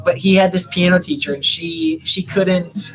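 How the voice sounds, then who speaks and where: A man's voice: sits high, normal speed, vocal fry, muffled recording, music in the background. A young man from Canada musing aloud in a rambling, casual live-stream monologue, swearing freely and full of fillers.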